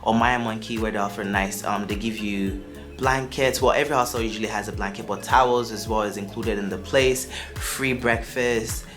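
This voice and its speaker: A man's voice: on the low side, fairly even intonation, normal speed, fairly bright tone, soft background music. A young man from Nigeria, speaking with a Nigerian accent that drifts toward American, gives chatty, upbeat travel advice to camera as a vlogger. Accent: Nigerian, shifting toward American. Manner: chatty and upbeat, with asides and restarts.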